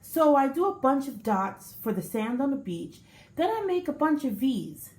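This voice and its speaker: A woman teacher reading a children's picture book aloud with expressive storybook delivery. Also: high voice, moderate pace. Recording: echoey room.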